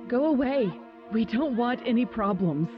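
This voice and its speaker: Muffled actress doing a scared defensive mom, high shifting voice, radio play. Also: female voice, expressive, normal speed, soft background music, lightly acted. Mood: frightened, pleading. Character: frightened, defensive mother hiding in hotel room. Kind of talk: audio drama acting.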